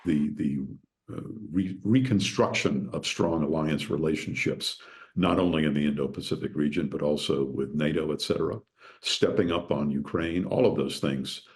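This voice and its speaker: An older man giving a halting, unscripted interview answer as a former official, repeating words as he goes. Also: a bass voice.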